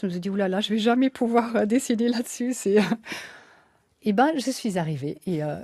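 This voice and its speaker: Hesitant woman guest, low and dry. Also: mellow, dry recording. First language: not French. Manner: hesitant, many fillers and restarts. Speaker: author and illustrator guest. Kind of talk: radio interview, reflective.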